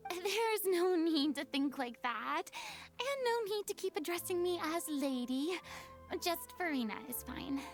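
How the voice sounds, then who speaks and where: A young former idol from a video game, proud but polite. The voice sits high, with a noticeable creak at the edges. Every phrase is refined, careful and a touch theatrical. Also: female voice, animated, measured pace, faint music behind, heavily acted. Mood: earnest, encouraging. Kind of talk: English video game dub acting.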